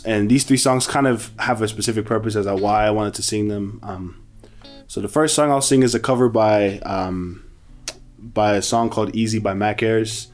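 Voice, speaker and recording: A man in his twenties from New Zealand, a musician introducing himself in a relaxed, hesitant way with many fillers. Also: lowish voice. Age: 20s. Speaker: musician and producer. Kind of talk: casual self-introduction.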